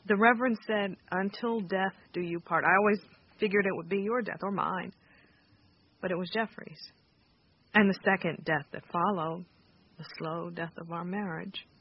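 An actress playing a weary, grieving wife in an audio drama, resigned and reproachful. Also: female voice, lowish voice, steady tone, normal speed. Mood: reproachful sorrow. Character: weary grieving wife leaving her husband. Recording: dull radio recording, faint hiss.

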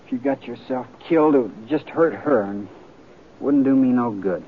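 An actor playing a blunt, reserved, plainspoken young American cowboy in an old radio western. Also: male voice, medium pitch, moderate pace, lightly acted. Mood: blunt, subdued. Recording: tinny vintage radio, faint noise.

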